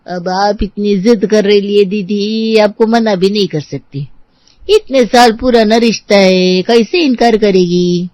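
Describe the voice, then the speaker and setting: Woman acting older housemaid, sly and stubborn, colloquial servant speech, medium pitch, dry storybook recording. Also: unhurried, darkish tone, no room sound, natural voice. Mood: feigned reluctance. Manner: colloquial, rustic servant's way of talking. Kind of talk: scripted audio story dialogue.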